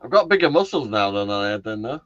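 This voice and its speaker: A man's voice: lowish voice, sing-song, fast talker, fairly bright tone. A man in his late forties chatting casually with friends, jokey, teasing and sweary. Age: late 40s. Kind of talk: casual group chat. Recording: dull lo-fi chat audio.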